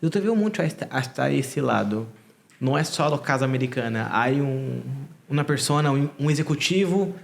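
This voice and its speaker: Male podcast host, mid-pitched with vocal fry, Brazilian accent, Portuguese native, loose conversational delivery. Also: animated, normal speed. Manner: informal, mixes in Portuguese words. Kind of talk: casual interview chat.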